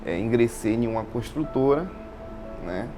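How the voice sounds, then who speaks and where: Bahian brazilian guy being interviewed, kinda halting, lots of tag questions, medium pitch, music clearly behind. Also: animated. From Salvador, Bahia, Brazil. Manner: halting, repeats phrases, frequent tag questions. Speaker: interview guest, installation business owner. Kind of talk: informal personal account. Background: background music.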